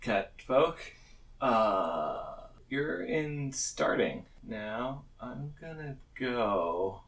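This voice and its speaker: A man's voice: mid-range voice, big pitch swings, extremely slow, a bit bright. A man narrating a tabletop roleplaying game as game master, bantering and joking as he goes, recorded at a distance. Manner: jokey, often breaking off into laughter.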